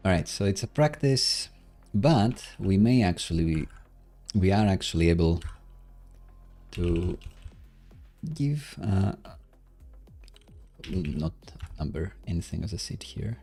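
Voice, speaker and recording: A man casually commentating as he codes live, musing and questioning himself in a halting way. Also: sits low, lively intonation, warm tone, soft background music. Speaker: live-streaming programmer host. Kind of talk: casual live coding commentary, thinking aloud.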